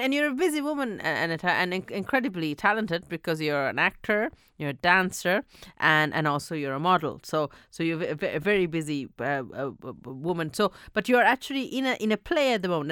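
A woman hosting a radio interview show, talking in a lively way and often stumbling and repeating words. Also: on the low side, fairly quick, clean tone, no room sound, studio quality. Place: radio studio.

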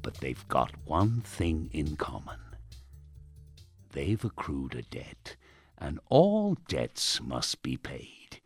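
An actor playing a smug, sardonic state radio host in a dark audio drama, theatrical and menacingly polite with pompous presenter patter. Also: male voice, very deep voice, wildly swooping pitch, takes it slowly, husky, soft background music, full character voice. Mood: grave, sanctimonious.

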